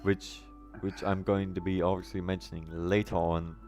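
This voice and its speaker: Deep-voiced German man streaming a game. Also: level tone, normal speed, a little mellow, soft background music. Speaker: live streamer. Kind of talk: casual live-stream game commentary, chatting with viewers.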